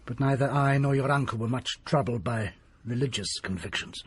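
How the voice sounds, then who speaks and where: An actor playing a secretive country doctor who tells a grim tale in a grave, hushed manner. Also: male voice, mid-range voice, normal speed, breathy edge, lightly acted. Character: desperate, secretive middle-aged male country doctor. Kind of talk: period radio drama acting.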